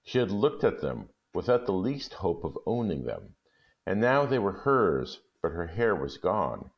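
Deep, gravelly male storyteller. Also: big pitch swings, a little slow, muffled recording. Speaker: story reader. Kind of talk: expressive literary storytelling and talk.

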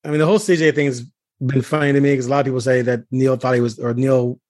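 A man's voice: medium pitch, steady tone, quick pace, darkish tone, no room sound, clean studio sound. A man hosting a sports podcast, talking conversationally and emphatically, with punchy phrases and rhetorical questions. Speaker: podcast host, sports beat reporter.

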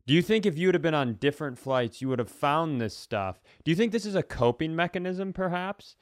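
A man's voice: a little high, expressive, a little fast, no room sound, studio quality. An American man co-hosting a podcast, talking casually and rewording his thoughts as he goes. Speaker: podcast co-host.

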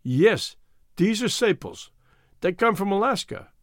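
A man voicing a persistent, literal-minded beat policeman in a storybook, matter-of-fact and deliberate. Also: sits high, very animated, dry recording, clean studio sound, pitched above the performer's own voice.

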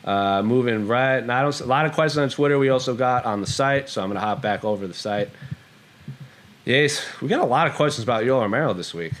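A man's voice: mid-range voice, fast, fairly bright tone. A man co-hosting a mixed martial arts show, casually fielding fan questions and chatting about the sport. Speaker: co-host of an MMA Q&A show.

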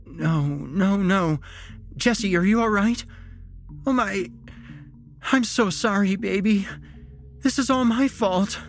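A man's voice: fairly high pitch, big pitch swings, very slow, pauses often, darkish tone, faint music behind, pitched above the performer's own voice, exaggerated voice. A man voicing an anguished, guilt-ridden middle-aged mother in an audiobook, blubbering and tearful. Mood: distraught.

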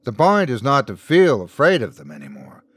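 Guy doing a stern buddy calling out, insistent n scolding, lively pitch, audiobook. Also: moderate pace, husky, no room sound, clean studio sound, exaggerated voice. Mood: firm, scolding. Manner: insistent, reproachful. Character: firm male friend calling out scolding encouragement.

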